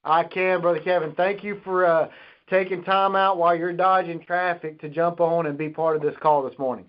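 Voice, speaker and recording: Male conference call host, slightly high voice, announcements and speaker introductions, muffled lo-fi line. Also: fairly even intonation, a little fast.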